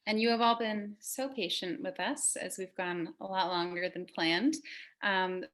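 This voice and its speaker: A polite woman moderating a panel on a video call, thanking people graciously as she moves things along. Her voice sits at a mid pitch. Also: a bit bright.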